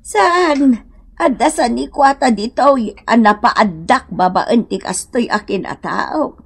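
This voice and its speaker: A woman's voice: fairly high pitch, animated, slow pace, breathy edge, exaggerated voice. A woman with an Ilocano accent playing a stubborn, plaintive old beggar mother in a radio drama, exclaiming and wailing. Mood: protesting.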